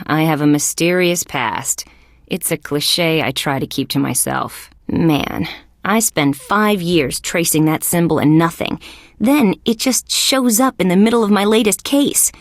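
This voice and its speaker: A woman playing a wry, skeptical young female police detective in a video game, with a deadpan, sardonic delivery. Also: fairly low pitch, a little slow, no echo, clean studio sound. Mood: wistful, guarded. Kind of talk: scripted game character lines.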